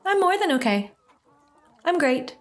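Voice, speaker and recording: A woman's voice: high-pitched, big pitch swings, measured pace. A woman playing a loving, playful wife and mother in an audio drama. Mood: loving, tender.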